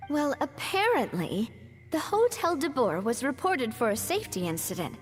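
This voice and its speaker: A composed young woman from a video game, explaining events with sympathy. Her voice is high and melodic, in a long reverberant space with soft music behind. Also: big pitch swings, normal speed. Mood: measured, explanatory. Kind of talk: video game voice acting, storytelling.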